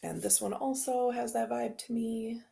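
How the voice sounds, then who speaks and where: A woman's voice: fairly high pitch, fairly even intonation, moderate pace, vocal fry, warm tone, slight background noise. A woman hosting a tarot channel, chatting casually and rambling as she unboxes decks and answers viewer comments.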